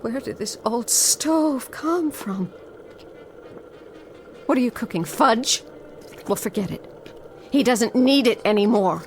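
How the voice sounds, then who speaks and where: A woman's voice: fairly high pitch, animated, slow pace, pauses often, background noise. An actress playing a determined, frightened fiancée in a radio drama, intense and dramatic, drawing out her phrases. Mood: defiant, confrontational. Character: determined, frightened long-waiting fiancée, pleading then defiant.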